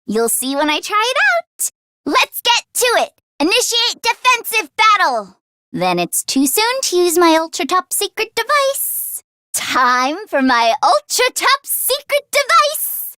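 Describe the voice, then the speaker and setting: A woman voicing an excitable, earnest teenage girl inventor who gets easily flustered, in an expressive anime-style video game performance. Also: very high-pitched, unhurried, dry recording, studio quality, full character voice. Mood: eager, proud. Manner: expressive, swinging from deadpan grumbling to frantic outbursts.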